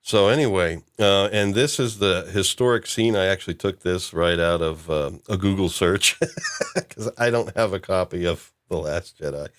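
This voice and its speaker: A man's voice: deep voice, normal speed, no room sound. A man hosting a casual podcast discussion, rambling with many restarts and chuckles. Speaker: podcast host.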